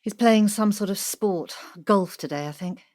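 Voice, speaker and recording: Audio drama role for an actress: wealthy wife, forties, cool and withholding, dryly cutting, naturalistic delivery. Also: female voice, mid-range voice, unhurried, a little mellow, natural voice. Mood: dry, aloof. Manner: dry, clipped, withholding.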